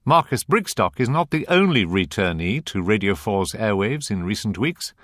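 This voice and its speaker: Animated low-voiced British man presenting. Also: normal speed, no echo, clean studio sound. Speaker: radio programme host. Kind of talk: scripted presenting and probing interview.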